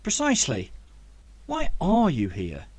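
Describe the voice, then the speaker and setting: A man's voice: on the high side, huge pitch swings, measured pace, full character voice. A man voicing an inquisitive old male scientist, a fond uncle, with an eager, questioning manner in a storybook reading. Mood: curious, insistent.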